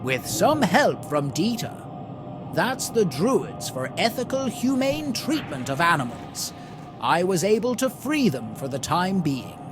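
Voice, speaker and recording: A man telling a holiday story in character, in a theatrical, deliberate way. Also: high-pitched, big pitch swings, over music. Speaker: storyteller in a roleplay story event.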